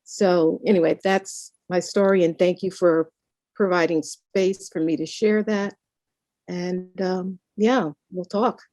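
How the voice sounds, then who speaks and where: A woman's voice: fairly low pitch, steady tone, slow, dark tone. A woman sharing a personal story at a community town hall, earnest and full of "you know" fillers, speaking over a video call.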